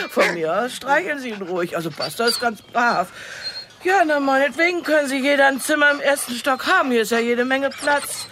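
An actress playing a gruff, foul-mouthed middle-aged farm woman in a German audio drama, blunt and easily thrown into stammering. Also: on the high side, noisy background, lightly acted. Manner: blunt, swearing, stammers when caught off guard.

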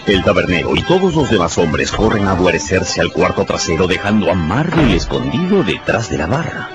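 A man's voice: low voice, very animated, normal speed. A man narrating a radio drama in a dramatic, scripted storytelling style. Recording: slightly dull sound, music behind.

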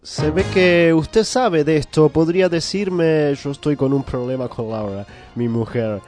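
A man's voice: fairly high pitch, a little slow, darkish tone, soft background music, close to an everyday voice. A man playing a pompous, fussy foreign correspondent in a parody news interview, mock-formal and dropping English words into Spanish. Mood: complaining.

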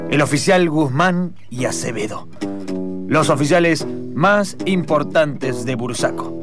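A man's voice: fairly high pitch, expressive, measured pace. A man delivering dramatic narration for improvised radio theatre. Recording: guitar music clearly behind.

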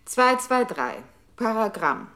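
Clipped, methodical computer control voice. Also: female voice, fairly high pitch, very animated, slow, sharp tone, heavily acted. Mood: clinical, impersonal. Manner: clipped, methodical announcing of commands and technical terms. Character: computer's control voice, precise and impersonal. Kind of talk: 1960s German radio play, formal announcing.

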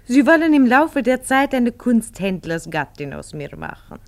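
A woman acting in a 1950s German radio play, playing a cool, self-possessed, gently mocking spy who speaks with foreign-sounding inverted word order. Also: on the high side, sing-song, normal speed. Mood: amused, mocking.

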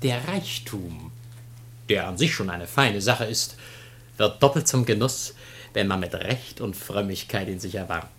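A man's voice: fairly low pitch, animated, a little slow, a bit bright, a little hiss or hum. A male actor playing a bold, jovial, mocking Greek man in a German radio comedy, declaiming theatrically with sardonic relish. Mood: smug, savouring.

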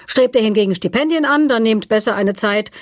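An actress playing a caustic woman author in a satirical radio interview, deadpan and sardonic. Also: on the high side, a little fast, no room sound, studio quality, lightly acted. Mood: dry, sardonic.

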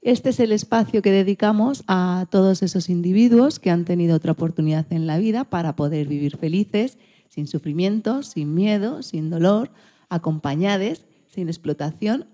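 A woman's voice: lowish voice, warm tone. A woman hosting a radio programme, presenting and narrating from a script.

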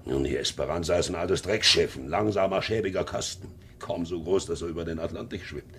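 A man's voice: deep voice, a little fast, fairly bright tone, slight background noise. An actor playing a proud, blustering, middle-aged sea captain and domineering father in a 1950s radio drama. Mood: brooding. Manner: blustering, mocking, commanding.

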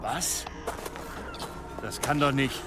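An actor playing a stern, indignant medieval duke with an imperious, abrupt manner in an audio drama. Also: male voice, mid-range voice, slow, music in the background, close to an everyday voice.

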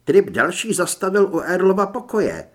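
A man's voice: sits high, very animated, pitched above the performer's own voice. A man voicing a tough, blunt, cool-headed woman monster hunter with a controlled delivery in an audiobook. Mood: intense.

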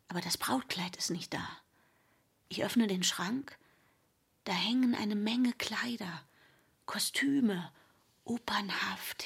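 A drowsy, guarded wife in a radio play. Her voice is very breathy and creaky, almost whispered, and she murmurs slowly and haltingly, as if from bed. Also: medium pitch, fairly bright tone, heavily acted. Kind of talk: intimate radio drama acting.

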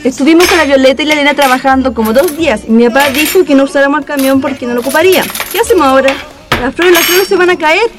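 A woman's voice: high voice, fast, background noise, close to an everyday voice. A woman playing an anxious, fretful young Chilean daughter in a radio drama. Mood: worried, upset. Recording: music under the voice.

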